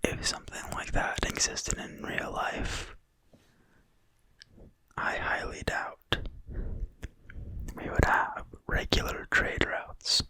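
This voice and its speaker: A person reading in a quiet, breathy whisper, soft and deliberate, as in an ASMR reading of a fantasy book. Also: low-quality recording. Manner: slow, soft, unhurried, deliberate. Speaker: ASMR video creator and reader.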